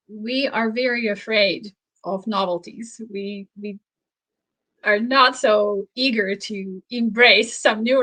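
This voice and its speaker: A woman whose first language is Slovak speaks as an author being interviewed. She is reflective and halting, restarting phrases as she searches for words. She breaks into light laughter often. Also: mid-range voice, a bit bright, poor audio. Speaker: author and researcher guest. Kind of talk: interview conversation.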